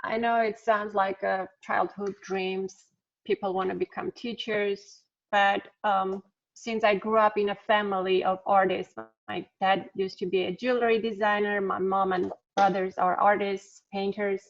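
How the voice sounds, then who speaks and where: A woman's voice: mid-range voice, flat delivery, unhurried. A middle-aged woman from Armenia with an Armenian accent, chatting casually on a video call, with frequent ums and little laughs. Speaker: alumna speaker. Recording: slightly dull video-call audio.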